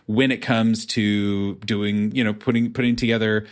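A man's voice: fairly low pitch, fairly even intonation, moderate pace. A man hosting an interview in a casual, conversational way, with long, wandering, thoughtful phrasing. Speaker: interview show host.

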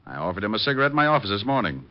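An actor playing a suave, wisecracking male private detective in a 1950s radio drama, dry and quick. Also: lowish voice, sing-song. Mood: wry. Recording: dull old radio broadcast.

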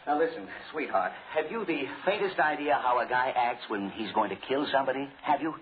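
An actor playing a brash, sarcastic, impatient radio director in a 1940s radio play, slangy and fussy. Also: male voice, mid-range voice, expressive, fairly quick, a little hiss or hum, natural voice. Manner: fussy, slangy, hesitant bursts. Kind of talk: 1940s radio drama acting. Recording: muffled 1940s radio recording with background voices.

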